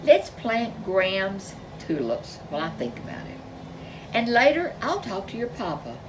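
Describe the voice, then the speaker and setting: A woman voicing a gentle, soothing mother bear in a children's storybook. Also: medium pitch, huge pitch swings, moderate pace, far from the mic, background noise. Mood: reassuring.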